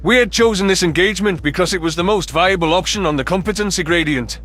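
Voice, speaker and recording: A man's voice: sits high, fast, fairly bright tone, faint music behind. A man reading scripted first-person science fiction narration as an audiobook narrator.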